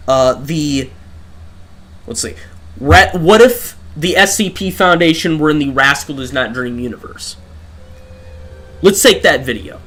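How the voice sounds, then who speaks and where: A man's voice: on the high side, fairly bright tone. An exhausted man streaming a game and rambling through rhetorical questions into a desk microphone. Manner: talks through his thoughts with many rhetorical questions and restarts. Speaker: gaming livestreamer. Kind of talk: rambling live-stream rant.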